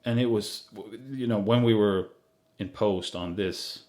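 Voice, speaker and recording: Man, Swedish accent, lowish pitch, halting, lots of uhs, relaxed commentary chat. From Sweden. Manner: hesitant, many fillers and restarts. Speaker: film director doing a commentary.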